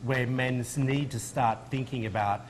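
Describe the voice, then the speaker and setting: A man's voice: medium pitch, fairly even intonation, moderate pace. Man hosting a conversational TV interview. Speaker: TV show host interviewer.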